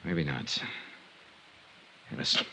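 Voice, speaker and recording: A man's voice: slow, sharp tone. An actor playing a tough, wry male investigator in a 1950s radio detective drama, with clipped, wary delivery. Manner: clipped, hard-boiled. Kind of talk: 1950s radio detective drama acting, first-person narration. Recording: noisy old radio recording with clicks.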